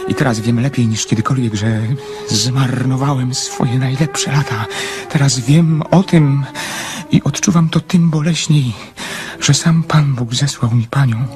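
A man acts out a lonely male dreamer in a radio play. He speaks slowly at a medium pitch, hesitant and lyrical, with theatrical shyness. Music plays clearly behind him. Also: close to an everyday voice. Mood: anguished, tender. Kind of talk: Polish radio drama acting.

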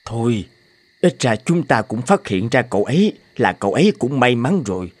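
Calm, kindly older father. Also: medium pitch, normal speed, slightly rough, no room sound. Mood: reassuring. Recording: loud music under the voice.

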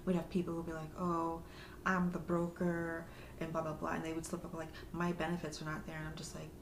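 A young woman guesting on a podcast, rambling in a relaxed way and slipping into voices for callers. Her low voice is gravelly with fry. The room is echoey, with a clear hiss behind her. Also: fairly quick. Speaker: guest, former social services worker. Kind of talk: casual chat. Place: small room.

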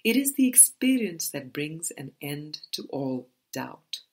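Low-pitched, slow-reading woman. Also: very animated, a little mellow. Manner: measured, deliberate phrasing. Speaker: audiobook reader. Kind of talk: scripted book reading.

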